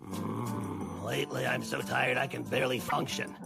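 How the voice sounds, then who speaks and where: An actor playing a sluggish, exhausted male worker in an animated comedy, drawling wearily. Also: a little high, moderate pace, creaky, music in the background. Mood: weary. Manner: weary, drawling. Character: worn-out, sluggish male corporate grunt. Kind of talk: animated comedy dub acting.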